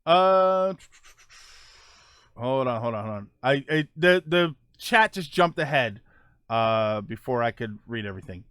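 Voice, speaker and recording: Bright, dry-sounding male streamer. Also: medium pitch, animated, a little slow, clean tone, no echo. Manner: rambling, with many fillers and restarts. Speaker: streamer host reading viewer questions. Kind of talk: casual live-stream chat.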